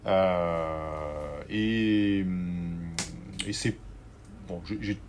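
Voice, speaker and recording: A man in his forties from southwest France, the guest, talking through his career slowly with many fillers and restarts. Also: low-pitched, steady tone, slight background noise. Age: 40s. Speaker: organic grocery owner, guest. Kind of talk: conversational interview.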